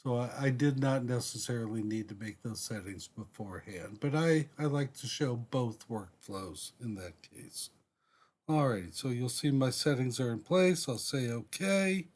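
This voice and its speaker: Slow-paced man giving a software tutorial. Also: medium pitch, expressive. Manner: deliberate, halting, frequently pausing mid-sentence. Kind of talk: step-by-step screen demonstration, unscripted.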